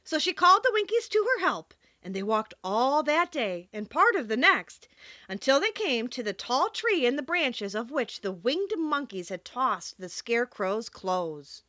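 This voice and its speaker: A woman narrating a children's storybook in an expressive, theatrical read-aloud style. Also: high-pitched, a little slow, no room sound, dull audio. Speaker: audiobook narrator.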